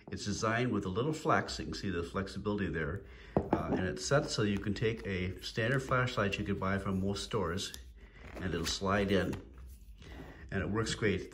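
A man's voice: low-pitched, fairly bright tone, a little hiss or hum. A man in his sixties, plain-spoken and folksy, explaining his homemade kayak fishing gear in a casual how-to video at home, with lots of "uh" fillers. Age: 60s. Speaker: DIY kayak angler. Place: home room with wood floor. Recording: echoey room.

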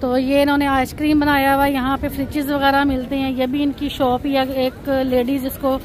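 High-voiced woman touring a shop. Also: level tone, a little fast, slight background noise. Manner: pointing things out, repeating "look at this". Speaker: vlogger. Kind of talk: casual walking tour narration. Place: chocolate shop and busy shopping street.

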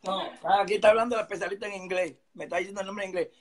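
A man's voice: sits high, steady tone, very fast. A man chatting casually as a guest on a video call. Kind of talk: casual chat.

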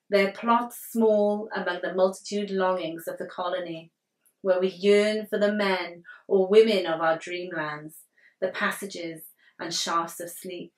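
A young woman poet reading her poetry aloud with measured, deliberate phrasing, recorded indoors in a living room. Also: on the low side. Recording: roomy living-room echo, distant mic.

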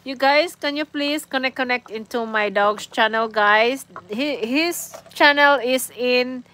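A woman in her forties with a Filipino accent, chatting casually as a livestream host in simple, halting, repetitive phrases. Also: sits high, pushed and bright. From Philippines. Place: small indoor room on a couch at home. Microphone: phone at arm's length.

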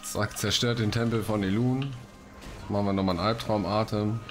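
A man with a fairly low voice, casually narrating a video game with a webcam on his desk. His comments come out loose and enthusiastic, full of everyday slang, with soft game music playing underneath. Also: moderate pace. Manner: relaxed, chatty, enthusiastic slang. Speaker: let's play host.

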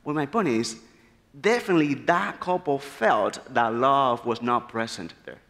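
A man in his thirties from the Republic, preaching an earnest, animated sermon at a church pulpit. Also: mid-range voice, a little slow. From Dominican Republic. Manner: animated, gesturing freely, earnest storytelling. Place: large church sanctuary, at a pulpit. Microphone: pulpit mic. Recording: long church hall reverb.

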